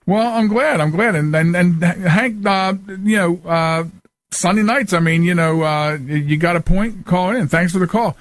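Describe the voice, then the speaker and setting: A man hosting a casual live call-in radio show, talking haltingly and often repeating his words. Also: high-pitched, dry recording.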